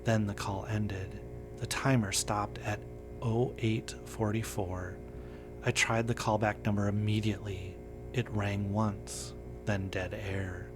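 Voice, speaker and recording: A man reading a scripted first-person horror story in a measured, understated way. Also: on the low side, flat delivery, over music. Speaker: narrator.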